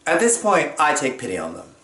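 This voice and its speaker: Animated man telling a personal story. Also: on the high side, fairly quick, a little hiss or hum. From Jamaica. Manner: expressive, acts out other people's lines.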